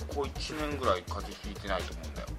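A man's voice: fairly low pitch, very animated, racing, music in the background. A man hosting a casual comedic podcast chat, conversational. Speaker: podcast host.

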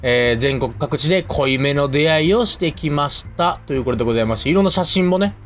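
A man's voice: on the high side, fast talker, a bit bright, a little hiss or hum. A man with a Kansai accent co-hosting a radio show, bantering casually and reading out listener mail in a colloquial way.

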